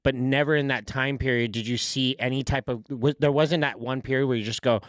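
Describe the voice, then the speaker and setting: A man hosts a podcast, his speech quick and brisk and recorded close with a dry, roomless sound. He asks questions eagerly and cuts in to follow up. His voice sits at an average male pitch. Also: fairly even intonation, a bit bright, no echo. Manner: quick, curious, jumps in with questions. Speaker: podcast host. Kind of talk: casual interview chat.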